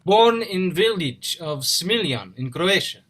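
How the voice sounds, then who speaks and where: A man's voice: high voice, lively intonation, slow, fairly bright tone, slight background noise, full character voice. Man acting an earnest Eastern European engineer, lightish voice. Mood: deferential. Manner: formal, clipped, drops articles. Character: polite, earnest, proud immigrant male engineer from Croatia. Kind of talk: dramatic play reading. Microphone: video call.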